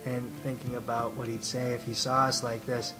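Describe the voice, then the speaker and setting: A man's voice: medium pitch, flat delivery, normal speed, natural voice, low-quality recording. A man playing a gloomy, timid, earnest dragon with a hesitant delivery in a radio play. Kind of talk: radio drama monologue and dialogue. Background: loud music bed.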